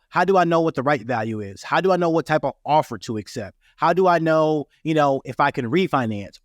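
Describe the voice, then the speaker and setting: A man hosting an instructional podcast, his voice raspy from a cold, talking in an earnest, explaining way. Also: on the high side, dry recording, clean studio sound. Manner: earnest, repeats "right?" and "that being said". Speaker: podcast host. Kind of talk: casual instructional podcast talk.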